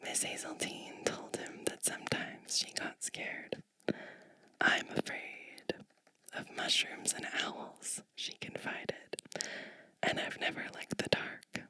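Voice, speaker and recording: A woman whispers a storybook in a soft ASMR style. Her breathy delivery is slow and gentle, with a crisp, bright edge and pauses between short phrases. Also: rough recording. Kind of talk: whispered ASMR storybook reading with chatty asides.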